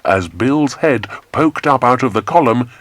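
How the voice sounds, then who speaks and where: A man's voice: medium pitch, lively intonation, a little slow, dry recording. A man narrating a children's adventure story in a dramatic, scripted style. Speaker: audiobook narrator.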